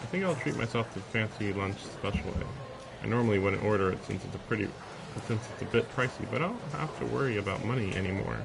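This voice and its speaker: A man's voice: fairly low pitch, quick pace, vocal fry, background noise. A man live streaming a visual novel, reading the text aloud and dropping in casual, sarcastic asides. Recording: music behind the voice. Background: game music.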